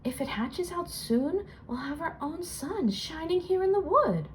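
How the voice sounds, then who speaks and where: A woman voicing a small, excitable young female elf from a storybook, earnest and emphatic in a sing-song way. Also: very high voice, measured pace, slight background noise, pitched above the performer's own voice, full character voice. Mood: wondering.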